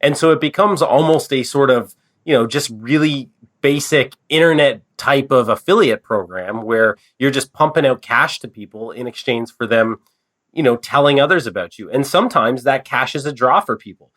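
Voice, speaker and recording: Male podcast host, fighting a cold, conversational and loose, mid-pitched. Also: moderate pace, fairly bright tone. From Canada. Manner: conversational, loose, frequent fillers and restarts. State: stuffed up with a cold. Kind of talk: casual business podcast chat.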